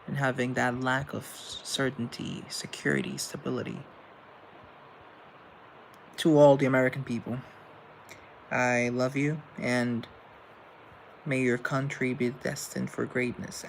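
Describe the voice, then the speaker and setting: Rambling young man with gravelly voice. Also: medium pitch, slight background noise, poor audio. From Colombia. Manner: rambling, earnest, with self-deprecating asides. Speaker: live show host answering chat. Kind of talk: casual live-stream chat, reading comments aloud.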